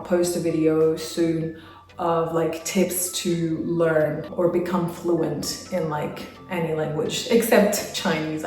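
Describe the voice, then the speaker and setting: A woman's voice: fairly low pitch, a little mellow, heavy reverb, recorded from a distance. A young woman from Spain answering viewer questions in a casual travel vlog, chatty and full of "like" fillers. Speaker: travel vlogger. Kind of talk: casual Q&A chat.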